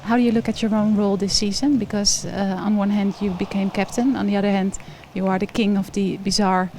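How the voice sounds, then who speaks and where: A woman in the interviewer's seat puts brisk, direct questions to a player. Her pitch sits mid-range, and faint background noise runs under the recording. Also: flat delivery, darkish tone.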